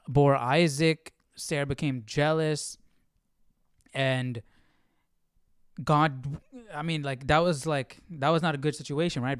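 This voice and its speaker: A man's voice: medium pitch, clear, resonant tone, no echo, clean studio sound. A man hosting a casual live Bible study stream, thinking aloud in an informal, hesitant way.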